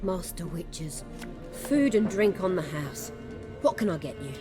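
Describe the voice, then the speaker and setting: An actress playing a courteous, wary village innkeeper in a video game, plain-spoken and rustic in manner. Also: female voice, on the low side, sing-song, unhurried. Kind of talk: video game cinematic acting. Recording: clear music behind the voice.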